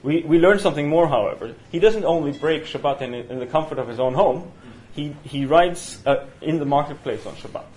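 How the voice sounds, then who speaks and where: A man with a Norwegian accent leading a university study session, talking in a halting way with many fillers and restarts. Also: on the high side, a little mellow, rough recording. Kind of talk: university seminar lecture. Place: university room. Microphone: single room mic.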